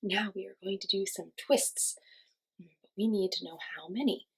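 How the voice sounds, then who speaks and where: A woman with a distinctly breathy, husky voice and some creak leads a children's lesson. She gives simple, encouraging instructions and poses questions, pausing as if for young listeners to answer. Her pitch is average for a woman. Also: faint music behind. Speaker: children's class teacher and host. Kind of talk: children's lesson with guided movement.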